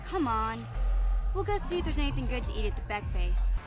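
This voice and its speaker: A woman's voice: on the high side, very animated, fairly quick. A woman playing a brash, streetwise teenage alien girl in a video game, sassy and chatty. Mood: breezy. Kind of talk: video game character dialogue. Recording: music behind the voice.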